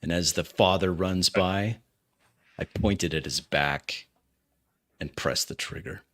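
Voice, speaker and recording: A man playing a tabletop game, describing his character's actions in hesitant, measured phrases. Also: deep voice, fairly bright tone. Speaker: tabletop roleplay player.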